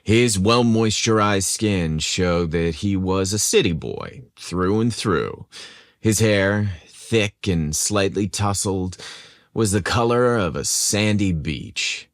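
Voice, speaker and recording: A man's voice: low voice, animated, slow, no room sound, clean studio sound. A man hosting a podcast and narrating a scripted story in a teasing, suggestive style.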